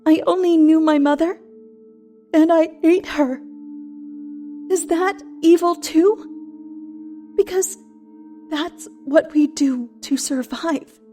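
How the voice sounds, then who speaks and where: A woman's voice: extremely high pitch, slow pace, pauses often, dark tone. A woman playing a bitter, sarcastic, century-old shapeshifting monster in an audio horror drama, combative and foul-mouthed. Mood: anguished. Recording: music under the voice.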